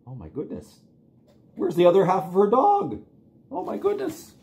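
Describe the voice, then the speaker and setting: A middle-aged American man reading a picture book aloud to young children in an animated, encouraging way. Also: high-pitched, normal speed, creaky. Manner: animated, exclaiming, simple encouraging talk to little ones. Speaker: children's librarian reading online storytime. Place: small plain room indoors.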